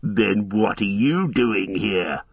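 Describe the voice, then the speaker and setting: A man voicing a band of savage orc warriors in a gruff, mocking, taunting way, on a dull lo-fi recording. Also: fairly low pitch, slow, dry recording, recorded from a distance, heavily acted. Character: brutish male orc warriors, jeering, savage.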